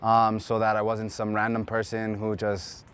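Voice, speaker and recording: Smooth-voiced young man in an interview. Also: lowish voice. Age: young adult. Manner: relaxed, with filler pauses. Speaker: student language instructor. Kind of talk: interview answers.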